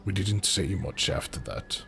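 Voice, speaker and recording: A man narrating a fantasy audio drama in a slow, deliberate, brooding way. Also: deep voice, expressive, vocal fry, pushed and bright, slight background noise. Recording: music under the narration. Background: music.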